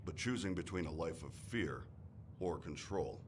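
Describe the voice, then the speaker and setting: Horror game role: weary, resolute male mortician, very deep, solemn delivery, slight background rumble. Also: lively intonation, normal speed. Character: grave older mortician, weary, resolute, haunted survivor.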